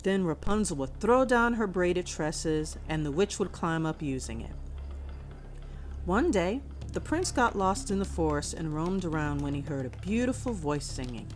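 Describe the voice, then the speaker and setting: Female storybook narrator, lowish pitch, measured scripted delivery for children, music bed behind. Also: sing-song.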